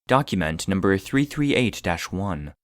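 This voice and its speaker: Low-voiced actor as a clinical male archive narrator. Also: animated, slight background noise. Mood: impassive, clinical. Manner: formal, measured, clinical delivery. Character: impassive male database voice reading classified containment files. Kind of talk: scripted narration of clinical reports.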